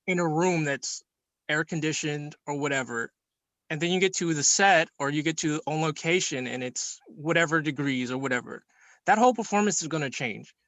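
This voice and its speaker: Bright-voiced man explaining his take. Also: on the high side, dry recording. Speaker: participant in a film class group meeting. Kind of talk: informal class discussion, explaining an opinion.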